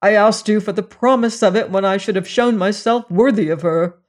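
A reader doing an earnest young gentleman. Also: medium pitch, moderate pace, lightly acted. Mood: defiant. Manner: earnest, restrained, then defiant. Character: earnest young man, proud and desperate.

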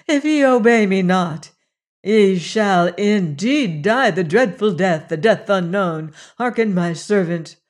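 A man's voice: high-pitched, takes it slowly. A man voicing a young woman posing as a goddess, proclaiming in a stately, solemn way. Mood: urgent.

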